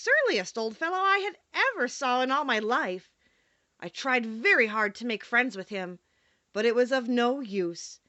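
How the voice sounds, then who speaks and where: A woman narrating a children's storybook in an expressive, dramatic storytelling manner. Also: fairly high pitch, no room sound. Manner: animated, storytelling delivery with dramatic emphasis. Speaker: audiobook narrator.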